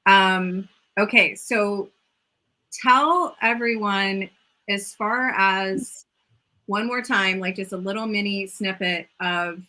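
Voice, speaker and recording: A woman's voice: medium pitch, fairly even intonation, slow pace, sharp tone. A woman hosting a casual interview, enthusiastic and chatty, often repeating phrases and saying "like". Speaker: host and yoga mentor. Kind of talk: casual video-call interview.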